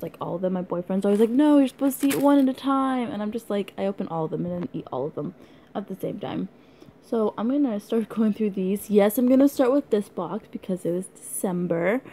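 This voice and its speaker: Hoarse young woman vlogging. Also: medium pitch, warm tone, slight background noise. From Canada. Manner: rambling, giggly, lots of likes and ums. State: hoarse, losing her voice. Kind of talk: casual unboxing chat.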